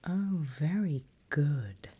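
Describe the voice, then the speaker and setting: A woman whispering an ASMR roleplay as a seasoned demon mentor, slow and deliberate, with playfully sly menace, close to the microphone. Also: deep voice, lively intonation, warm tone, slightly rough.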